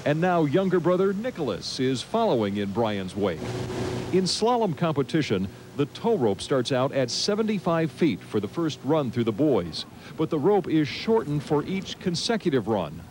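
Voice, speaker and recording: A man's voice: a little high, sing-song, normal speed, a little mellow. A middle-aged American man reading the news in a scripted broadcast style as a TV anchor. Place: TV news studio. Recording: noisy old TV broadcast tape.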